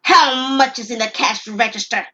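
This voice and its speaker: A woman voicing an unstable, desperate robber in his forties, with forceful, bitter delivery in an audio drama. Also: on the high side, moderate pace, highs cut off, background noise, voice pushed up, natural voice. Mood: menacing.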